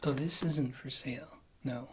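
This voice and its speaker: A performer playing a wry, condescending witch healer in a whispered ASMR fantasy roleplay, with a soft, breathy voice and a halting delivery. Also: mid-range voice, steady tone, noisy background, natural voice. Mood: calm, guarded. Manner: slow, halting, dryly disdainful.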